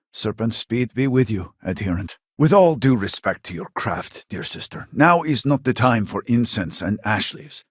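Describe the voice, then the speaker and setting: A man's voice: mid-range voice, slightly rough, dry recording, clean studio sound. An actor playing an elderly, proud and impatient male scientist in a video game, speaking in a formal, theatrical way. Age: older.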